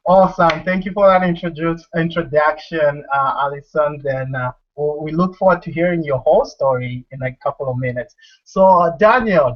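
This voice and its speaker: A man with a Zambian accent hosts a group video call, his voice sitting fairly high for a man. He talks in an upbeat, motivational way and often repeats himself. The sound is dull and lo-fi, as from a computer mic. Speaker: host. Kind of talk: casual online group call.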